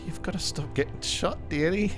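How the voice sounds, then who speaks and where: A woman's voice: lowish voice, moderate pace, music in the background, pitched above the performer's own voice, close to an everyday voice. A woman voicing a clingy, affectionate young female hacker who fusses dotingly in a tabletop game. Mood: worried fussing. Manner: doting, calls listener dearie.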